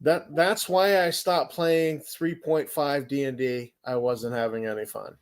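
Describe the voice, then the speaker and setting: A man's voice: a little high, steady tone, unhurried, clear, resonant tone. A man in his fifties hosting an interview, joking and laughing often with short replies. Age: 50s. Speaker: podcast host. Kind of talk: casual interview chat.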